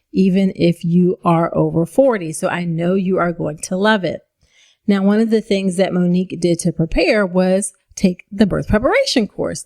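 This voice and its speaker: A woman hosting a pregnancy podcast, who introduces episodes and then interviews guests. Her manner is encouraging and affirming. Her pitch sits in the middle of the usual range for a woman. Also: a little mellow. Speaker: podcast host, obstetrician.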